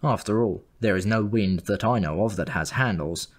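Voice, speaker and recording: A man with a fairly low voice narrates a comic first-person story. His delivery is wry and dry, like a scripted audiobook read. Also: normal speed.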